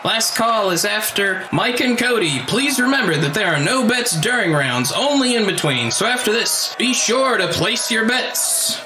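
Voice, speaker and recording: A man's voice: high voice, expressive, moderate pace, few pauses, background noise. A man acting in an audio drama as a showy but loyal, brotherly fight-ring announcer. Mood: showy, announcing.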